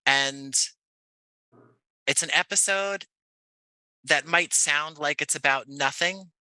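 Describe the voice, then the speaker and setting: Very bright-voiced American man telling stories. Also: a little high, takes it slowly, clear, resonant tone, no echo, rough recording. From Jersey City, United States. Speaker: podcast guest, audio playwright. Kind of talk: conversational interview storytelling.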